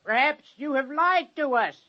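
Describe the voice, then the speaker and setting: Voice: high-pitched, expressive, moderate pace. An actor playing a small, stubborn winged creature chief who speaks in terse, formal, simple sentences in an old radio drama. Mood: suspicious. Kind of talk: 1950s radio drama acting. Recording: dull, lo-fi old radio recording.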